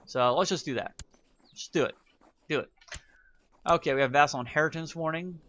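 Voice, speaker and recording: A man's voice: on the high side, normal speed, fairly bright tone, lo-fi sound. Wry man narrating a strategy game. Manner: casual, wry, thinking aloud. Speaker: gaming YouTuber. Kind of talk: let's play commentary.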